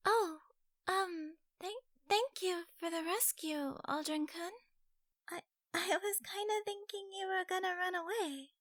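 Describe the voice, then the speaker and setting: A man's voice: extremely high pitch, steady tone, a little slow, fairly bright tone, voice pushed up. A man voicing a shy but feisty young fox girl gamer in a fantasy audiobook, with a nervous, stammering, cutesy delivery. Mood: sheepish.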